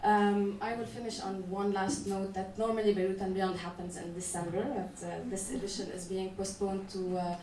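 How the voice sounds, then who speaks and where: A woman speaking on a conference panel as a festival organizer, measured and halting, with frequent "uh" restarts. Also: mid-range voice, little pitch movement, warm tone. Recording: echoey room, voice from a distance, faint noise.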